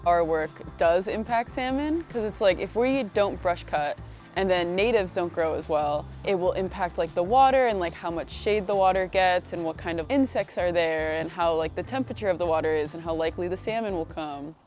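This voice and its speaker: A woman in her twenties from New York talks in a slightly low voice. She explains casually, stringing one thought into the next, and soft music plays behind her. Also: moderate pace. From Syracuse, New York. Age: 20s. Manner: long run-on explanations, casual. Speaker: safety officer. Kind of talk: documentary interview.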